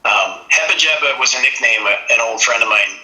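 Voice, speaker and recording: A man from Minnesota, a singer-songwriter guest, talking in a relaxed, reflective way about his work. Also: mid-range voice, brisk. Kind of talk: casual interview. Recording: echoey room.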